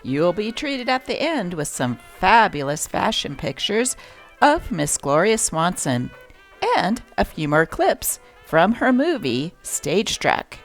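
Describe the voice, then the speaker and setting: A woman hosting a show that reads aloud from old newspapers, with an expressive, varied delivery. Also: takes it slowly, soft background music, rough recording. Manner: expressive reading with lively pitch. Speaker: channel host reading old newspapers.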